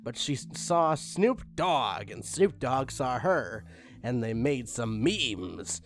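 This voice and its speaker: A man reading a badly written story aloud with mocking deadpan asides and spoken punctuation, over faint music. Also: mid-range voice, slow pace. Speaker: reader of online stories. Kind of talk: comedic dramatic reading with commentary.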